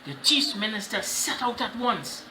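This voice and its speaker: A Trinidadian man reads a picture book to children. His bright voice rises and falls widely as he explains simply. The room sounds echoey, with a little noise. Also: high-pitched, very animated, normal speed. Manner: explains by repeating phrases simply. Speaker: children's storytime host. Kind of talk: animated picture-book reading. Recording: roomy echo with faint noise.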